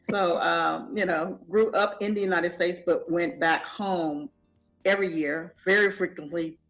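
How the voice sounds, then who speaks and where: A woman's voice: on the low side, fairly even intonation, measured pace, a bit bright, highs cut off, faint music behind. Trinidadian woman, easygoing interview talk. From Trinidad and Tobago. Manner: easygoing, conversational, frequent "you know". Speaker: festival founder, guest. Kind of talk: casual interview.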